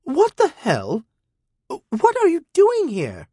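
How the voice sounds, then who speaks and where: A male reader voicing a defiant teenage girl in an audiobook, pitching up into a higher, sharper voice that sounds sulky and scornful. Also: huge pitch swings, takes it slowly, mellow, no room sound, studio quality, heavily acted. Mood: furious.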